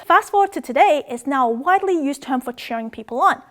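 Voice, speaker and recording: A woman from Macau, raised in Australia, hosting a scripted educational video about words and languages in an upbeat, playful way. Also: fast. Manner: upbeat, playful, with excited jumps in pitch.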